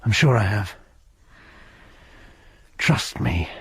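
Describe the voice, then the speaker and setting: Brief: a husband dodging his wife's suspicion, breathy and animated. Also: lowish voice, very slow, dry recording, heavily acted. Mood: defensive. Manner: soothing, defensive. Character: man reassuring a jealous wife, evasive.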